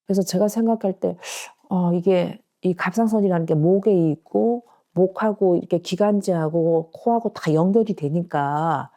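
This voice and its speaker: Halting woman in her sixties, mellow tone. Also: lowish voice. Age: 60s. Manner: earnest, halting, searching for words. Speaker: patient. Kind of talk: casual interview.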